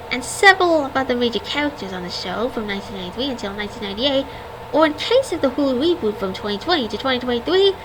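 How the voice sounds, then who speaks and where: A woman's voice: on the high side, noisy background. A woman in her twenties, a vlogger reading a scripted history and reciting long lists of names and dates. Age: 20s.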